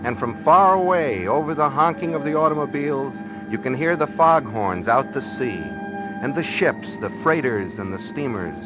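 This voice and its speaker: Henpecked working stiff played by a man, medium-pitched, plainspoken and wistful; dull old radio recording with music. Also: animated, normal speed, close to an everyday voice. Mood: wistful, musing. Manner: plainspoken, hesitant, pleading. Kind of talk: 1950s radio drama acting, first-person narration.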